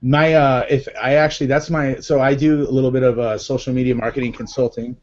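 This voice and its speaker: A man's voice: medium pitch, little pitch movement, a little fast, darkish tone. Chatty man with a Massachusetts accent. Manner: chatty, upbeat, many fillers. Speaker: live show host. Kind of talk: casual live video chat. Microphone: video call. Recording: dull lo-fi video call audio.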